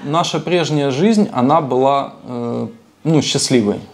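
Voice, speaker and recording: A calm man in his thirties speaks very slowly and carefully, pausing with small fillers. He sits on a couch at home, recorded on a lapel mic. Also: medium pitch, faint music behind. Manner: calm, measured, with hesitant fillers. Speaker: interviewee, husband and father. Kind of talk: documentary interview. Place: living room couch, indoors.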